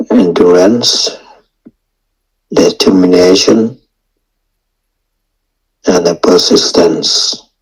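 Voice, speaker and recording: A man teaching guided meditation in non-native English phrasing, speaking in short, halting phrases with long pauses. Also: sits low, a little mellow, husky, low-quality recording. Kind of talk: guided meditation and dharma talk.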